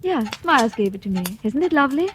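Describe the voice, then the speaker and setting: A coy scheming young wife. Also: female voice, sits high, big pitch swings, fast talker. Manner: coy, wheedling, says "darling" a lot. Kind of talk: 1940s radio acting. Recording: old radio broadcast with hiss.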